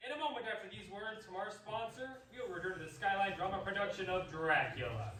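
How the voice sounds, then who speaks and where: A man's voice: high voice, animated, fast talker. A man giving scripted announcements as the presenter of a radio play. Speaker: program announcer. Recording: roomy, distant mic, faint noise.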